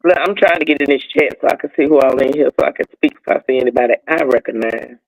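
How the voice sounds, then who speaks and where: A woman chats on a live stream. She is easygoing and unhurried, asking plain, simple questions as she keeps an eye on the chat. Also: a bass voice, vocal fry, rough recording. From North Carolina. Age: 50s. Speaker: guest co-host.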